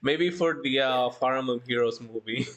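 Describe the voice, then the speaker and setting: A livestream host chatting casually and reading out the chat, halting and often breaking into giggly laughter. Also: mid-range voice, rough recording.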